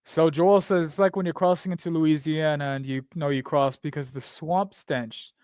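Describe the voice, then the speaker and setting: A young man from near the Texas border rambles through a casual podcast chat, swearing and cracking jokes as he goes. His voice sits a bit higher than most men's. It sounds dry and close, with almost no room echo. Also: steady tone, normal speed, a little mellow, clear, resonant tone. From Texas, near the Mexican border. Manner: profane, joking, rambling storyteller.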